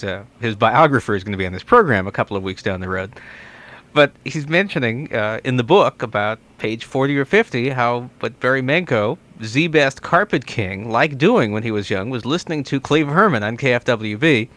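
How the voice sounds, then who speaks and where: A man's voice: mid-range voice, lively intonation, moderate pace, a bit bright. A man interviewing a guest conversationally as a radio host.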